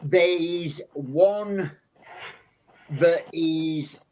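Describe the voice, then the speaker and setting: A man's voice: on the high side, drawn-out words, darkish tone, slight background noise. A man from England with a South Yorkshire accent, a psychic guest telling rambling anecdotes in a casual interview, often restarting his sentences.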